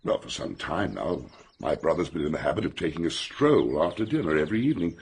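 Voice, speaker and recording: English gentleman, deep, animated intonation, blunt, clipped, well-bred, radio drama. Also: fast talker, vocal fry, breathy edge, full character voice. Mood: grave, forthright.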